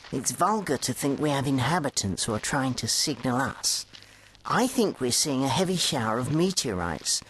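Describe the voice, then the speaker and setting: An actor playing an excitable amateur astronomer in an audio drama, with a precise, emphatic, lecturing manner. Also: male voice, mid-range voice, slight background noise, rough recording. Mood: confident, dismissive.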